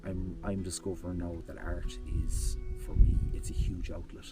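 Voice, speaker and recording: A young Irish man with a very low voice talks in a reflective, halting way, restarting his sentences and searching for words. He sounds set back from the mic, with loud guitar music playing under him. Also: level tone, distant mic. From Ireland (rural Galway). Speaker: interview guest. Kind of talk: casual conversational interview. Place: outdoors on a hillside.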